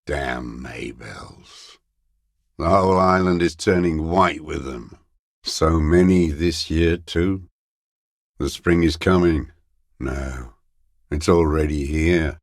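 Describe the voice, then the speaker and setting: An actor playing a bitter, dying old communist deserter in a video game, raspy and contemptuous, given to cursing and ideological scorn. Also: male voice, extremely low pitch, dry recording, studio quality, full character voice. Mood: weary, bleak. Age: older. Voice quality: gravelly, raspy. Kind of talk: dramatic video game voice acting.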